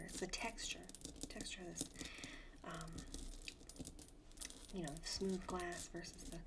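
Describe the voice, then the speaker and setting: A woman playing a polite, hesitant presenter in a soft-spoken ASMR roleplay, breathy and often near-whispering into close microphones. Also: on the low side. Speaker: ASMR performer. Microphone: close sensitive ASMR microphones. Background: tapping and clicking of handled objects.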